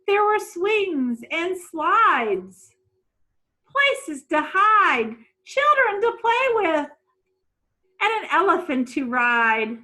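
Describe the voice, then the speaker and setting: Female children's storybook reader. Bright tone, unhurried, dramatic, deliberate delivery. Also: extremely high pitch, big pitch swings. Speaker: children's picture-book reader. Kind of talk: expressive storybook read-aloud.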